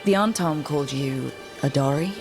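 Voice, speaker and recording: A woman playing a steady, supportive adventurer and leader in a video game, calm and matter-of-fact. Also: low voice, moderate pace, music in the background. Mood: casual.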